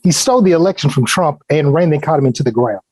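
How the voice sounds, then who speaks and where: A man acting a blunt, sarcastic, stubborn conservative uncle with a husky voice in a comedy table read. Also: on the high side, animated, fast, darkish tone, no room sound. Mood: grumbling.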